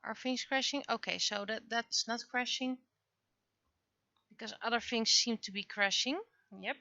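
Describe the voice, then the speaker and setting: A woman livestreamer chatting casually and haltingly, muttering to herself as she thinks aloud, on dull lo-fi audio. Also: on the high side, steady tone, vocal fry, sharp tone, no echo. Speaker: livestreamer, webcomic artist.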